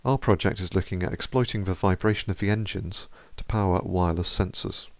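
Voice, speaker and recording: A male researcher reading a scripted explanatory narration in a steady, measured way. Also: low-pitched, creaky, dry recording.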